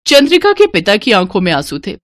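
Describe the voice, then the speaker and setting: A woman's voice: mid-range voice, wildly swooping pitch, fairly quick, heavy background noise. A woman narrating a scripted story as a storyteller.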